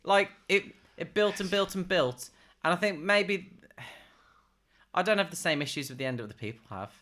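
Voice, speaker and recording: A man's voice: high-pitched, lively intonation, normal speed, bright, forceful tone. A man chatting casually with friends as a podcast co-host, opinionated and keen to debate.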